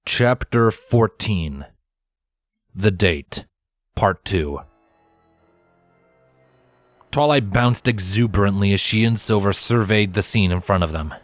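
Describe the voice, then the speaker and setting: Casting: male story narrator, lower-pitched voice, expressive scripted read of fan fiction. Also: a little slow, no room sound.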